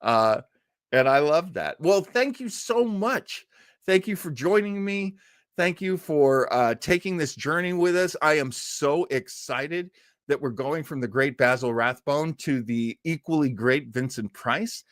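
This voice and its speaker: A man in his sixties with a very animated, swooping delivery hosts a casual livestream. He rambles enthusiastically and effusively, and the sound is close and dry. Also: a little high, measured pace, no room sound. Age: 60s. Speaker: host of an online show.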